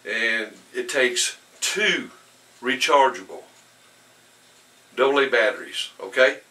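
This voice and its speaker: Slow-talking man with a bright, forceful voice. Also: medium pitch, animated. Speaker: product reviewer. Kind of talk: casual hands-on product demonstration. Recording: distant mic with faint background noise.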